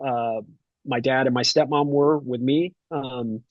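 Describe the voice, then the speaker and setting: A man's voice: medium pitch, steady tone, measured pace, no room sound, lo-fi sound. A middle-aged man talking casually as a podcast guest, rambling with lots of fillers and restarts. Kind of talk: casual conversation.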